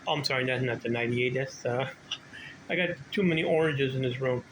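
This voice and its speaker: A man's voice: mid-range voice, brisk. An older man giving a casual, rambling show-and-tell, drifting between informal asides as he talks. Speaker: vintage bike collector demonstrating his gear. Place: indoor home workshop room. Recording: noticeable background noise.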